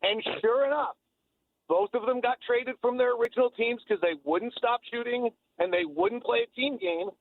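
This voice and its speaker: High-pitched, gravelly man talking sports on radio. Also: animated, moderate pace, no room sound, narrow, tinny audio. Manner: emphatic, opinionated, repeats phrases for emphasis. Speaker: basketball analyst and guest. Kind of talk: opinionated sports talk radio conversation. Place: radio talk show.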